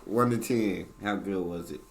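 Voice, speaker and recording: A man's voice: low-pitched, normal speed. An American man hosting a reaction video, chatting casually with a playful, teasing, slangy manner.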